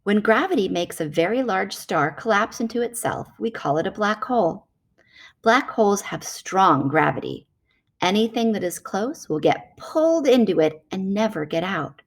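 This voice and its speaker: A woman narrates a children's nonfiction book aloud. Her voice sits at a medium pitch, and she reads in a measured, explanatory manner suited to young listeners. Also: expressive.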